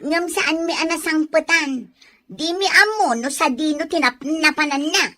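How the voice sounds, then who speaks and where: Bossy teenage sister, very high sharp voice, Ilocano Filipino accent, radio melodrama bully. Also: female voice, measured pace. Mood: whiny, defensive. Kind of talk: radio drama acting.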